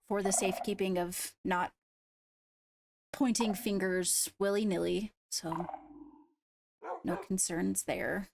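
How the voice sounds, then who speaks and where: A woman with measured, formal phrasing, addressing a court as its leader in an improvised roleplay. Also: mid-range voice, gravelly, slight background noise. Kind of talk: improvised in-character roleplay announcement.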